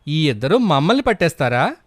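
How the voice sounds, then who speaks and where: A man's voice: on the high side, big pitch swings, a little fast, no echo, studio quality. A man voicing an arrogant, foolish fish with a boastful manner in a children's story. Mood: scoffing. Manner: boastful, scoffing. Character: cocky arrogant foolish fish dismissing advice.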